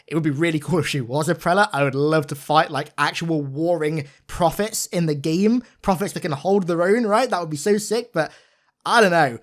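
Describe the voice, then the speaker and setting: A man's voice: a little high, moderate pace. A young man giving an informal, enthusiastic video game breakdown with an emphatic, gushing manner. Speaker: video game content creator.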